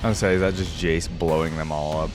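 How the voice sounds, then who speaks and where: A man's voice: rumbling low, fairly even intonation, quick pace, over music. A man hosting a reaction video with casual, conversational commentary, thinking aloud and qualifying his opinions as he goes.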